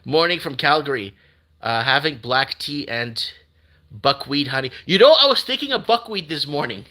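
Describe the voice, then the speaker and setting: Man, portuguese native, live-stream host, bright forceful voice, slightly high, rambling, repeats words. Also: normal speed. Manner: rambling, animated, repeats himself. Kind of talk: casual live-stream news commentary.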